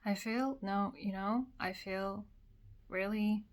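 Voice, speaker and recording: A woman from Korea with a Korean accent, speaking in English as a second language. She talks in a personal, emotional monologue after crying, halting and repeating phrases. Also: mid-range voice, steady tone. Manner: slow, halting, repeats phrases, many pauses. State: has been crying. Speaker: vlogger.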